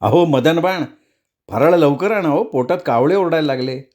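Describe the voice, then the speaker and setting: A man's voice: on the high side, moderate pace, a little mellow, natural voice. A man voicing a greedy, scheming, freeloading neighbour who wheedles and mutters asides in a comic story.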